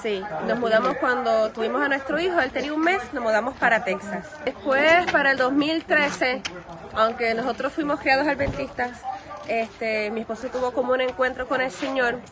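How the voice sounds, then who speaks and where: A Puerto Rican woman speaks Puerto Rican Spanish, her voice high and her delivery quick and earnest. A crowd can be heard clearly around her. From Puerto Rico. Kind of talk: interview answers.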